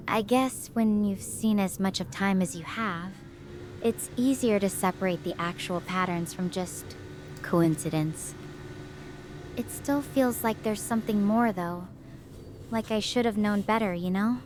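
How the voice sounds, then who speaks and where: A woman playing a stranded, guilt-ridden young astrophysics researcher in a sci-fi drama, speaking softly and hesitantly in a confiding way. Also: mid-range voice, a little slow, slight background noise, lightly acted. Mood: reflective, gentle. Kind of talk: conversational sci-fi roleplay.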